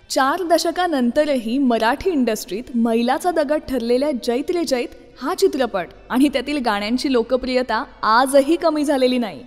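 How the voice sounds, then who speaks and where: A woman hosting a radio show of classic film songs, talking conversationally to her listeners. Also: sits high. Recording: long reverb on the voice.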